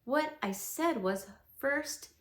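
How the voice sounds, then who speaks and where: A woman's voice: high voice, lively intonation, slow, a bit bright, pitched above the performer's own voice, heavily acted. A woman voicing a pompous, long-winded male owl. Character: pompous wordy male owl, self-important.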